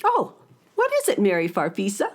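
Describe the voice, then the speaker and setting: An actress playing a friendly narrator of a children's radio story, telling it expressively. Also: female voice, medium pitch, huge pitch swings, moderate pace, a little mellow. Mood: indulgent.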